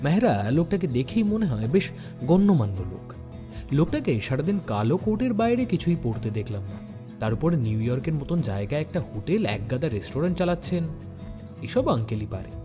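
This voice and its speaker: An actor playing a detective's observant young sidekick, narrating an audio story in a reflective, expressive way. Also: male voice, mid-range voice, brisk, music in the background, lightly acted. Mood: impressed, amused.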